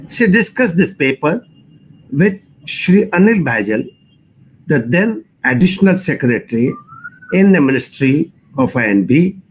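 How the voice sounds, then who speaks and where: An older man with an Indian accent and a slightly husky voice, giving an explanatory talk in measured, formal phrasing as a technical expert. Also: on the high side, slight background noise, low-quality recording. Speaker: radio engineering expert giving a presentation. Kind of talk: explanatory lecture with personal recollection.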